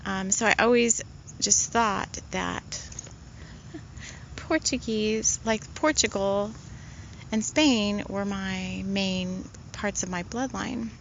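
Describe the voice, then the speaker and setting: A woman spiritual healer in casual, rambling talk, soft-spoken and full of fillers. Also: medium pitch, takes it slowly, creaky, slight background noise.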